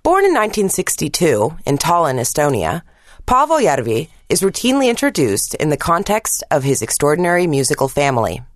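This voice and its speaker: A woman's voice: deep voice, wildly swooping pitch, fairly quick, no room sound, studio quality. A woman reading scripted narration as the narrator of a radio programme.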